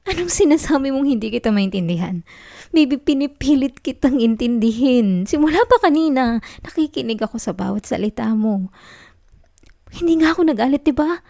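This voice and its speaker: A woman with a Filipino accent acting a possessive, clingy young student girlfriend in an intimate ASMR roleplay, speaking softly and pleadingly. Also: high voice, lively intonation, moderate pace, gravelly, no echo, clean studio sound. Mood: hurt, insisting.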